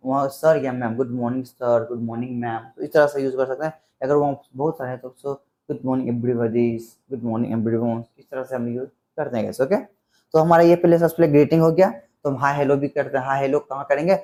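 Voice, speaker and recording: A man with a soft-edged, mellow tone at a medium pitch. He teaches like a tutor for beginners, explaining things step by step. He often repeats his example phrases. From Bihar, India. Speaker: English teacher. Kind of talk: spoken English lesson explained in simple Hindi.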